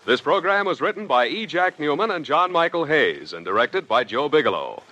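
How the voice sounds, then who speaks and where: Bright, animated old-time American radio announcer, male. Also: a little high, normal speed. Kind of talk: 1950s radio announcing, scripted. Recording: vintage 1950s radio recording. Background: music.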